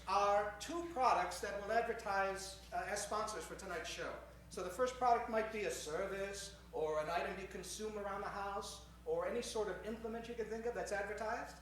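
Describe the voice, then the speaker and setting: A man's voice: high voice, fairly bright tone, a little hiss or hum. A man announcing a live improvised radio show on stage, courteous and mock-formal, in the manner of an old-time showman. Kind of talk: theatrical live emceeing to an audience. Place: stage in front of a live audience. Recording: echoey hall, distant microphone.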